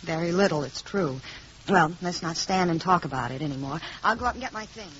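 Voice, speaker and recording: An actress playing a polite, protective mother with a composed, gently firm way of talking in a 1950s radio play. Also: on the low side, animated, fairly quick, natural voice. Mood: composed, reproving. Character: polite, protective young mother living in a boarding house. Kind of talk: 1950s radio drama acting. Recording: dull, noisy old radio recording.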